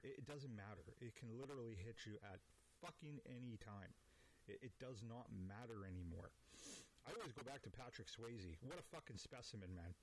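A man's voice: medium pitch, a little hiss or hum, low-quality recording. A man in his forties hosting a podcast, rambling through a casual, profane, self-deprecating monologue. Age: 40s. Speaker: podcast host.

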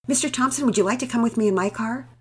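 A woman voicing a steady, kind father who gives calm, measured advice. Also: on the high side, slightly rough, pitched above the performer's own voice. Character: steady, kind father, middle-aged man.

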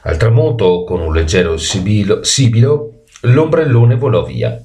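A man reading a children's story expressively, with animated delivery and the odd stumble over words. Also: lowish voice, a little slow.

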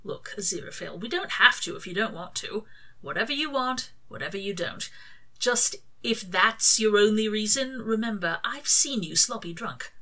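Cheeky male demon for a story reading, acted by a woman: casual, slangy, sardonic, bright and forward in tone. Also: mid-range voice, expressive, moderate pace, pitched above the performer's own voice. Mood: gentle, earnest. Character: cheeky, teasing, laid-back male demon.

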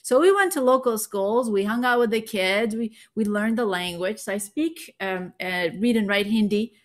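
A woman's voice: mid-range voice, moderate pace. A woman with a slight Indian accent, a physician guest on a podcast, explaining with fluent enthusiasm. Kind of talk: conversational podcast interview.

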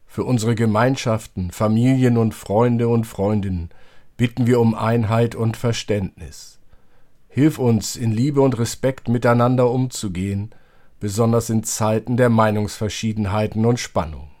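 A man leading liturgical prayer as a clergyman, speaking in solemn, measured phrases. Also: on the low side, warm tone. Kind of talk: liturgical prayer, church service podcast.

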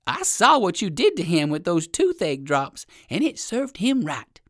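Woman putting on a high-pitched, kindly crow. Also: huge pitch swings, unhurried, no room sound, studio quality, exaggerated voice. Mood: friendly.

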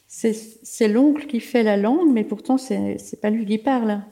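A woman host leads a book discussion in a warm, mellow voice. She builds her questions slowly and carefully, with a few pauses. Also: a little high. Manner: measured, thoughtful questions. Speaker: interviewer host. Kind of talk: literary interview.

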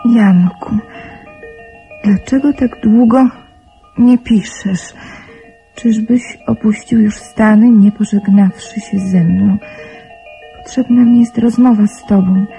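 A woman's voice: mid-range voice, steady tone, slow, pauses often, warm tone, soft background music, rough recording. An actress playing an anxious, helpless young woman in a radio play, hesitant and pleading in manner. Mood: lonely, wistful. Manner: hesitant, pleading, repeating herself. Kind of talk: Polish radio play acting.

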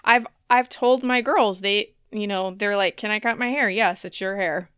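A woman's voice: medium pitch, moderate pace, fairly bright tone, clear, resonant tone, no echo, clean studio sound. A woman, a podcast co-host, chatting casually and playfully and often laughing as she talks. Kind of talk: casual banter between co-hosts.

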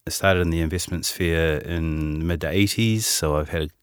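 A man's voice: a bass voice, steady tone, normal speed, clean tone, dry recording, studio quality. A man in his fifties with a New Zealand accent, talking in a podcast conversation with many fillers and restarts. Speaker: head of asset management.

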